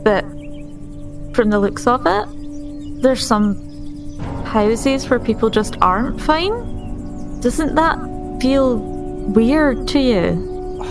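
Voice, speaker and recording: A woman with a slightly high voice role-plays in a tabletop game. She speaks slowly and deliberately, in a questioning manner, with music clearly behind her. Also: expressive. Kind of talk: improvised in-character role-play.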